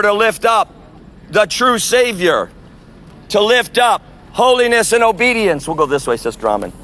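A man preaching loudly and forcefully on an outdoor sidewalk, proclaiming to passersby. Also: high-pitched. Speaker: street preacher. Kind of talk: open-air street preaching.

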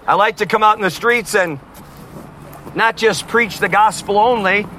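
Street preacher, male, high and forceful, loud, open-air with light traffic hiss. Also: moderate pace, poor audio. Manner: forceful, repeatedly addressing "neighbors". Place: outdoors on a street outside a concert. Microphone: no amplifier.

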